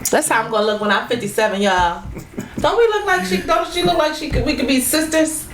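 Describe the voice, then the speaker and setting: A woman of fifty, hosting a casual chat and telling lively childhood stories, acting out the quotes. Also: high-pitched, brisk. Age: 50s. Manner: animated storyteller, acts out quotes. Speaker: host. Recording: echoey room with faint noise.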